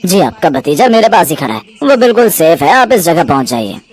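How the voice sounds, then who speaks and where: A woman voicing a calm, reassuring male stranger in a family story, speaking politely and steadily. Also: fairly low pitch, a little fast, pitched below the performer's own voice.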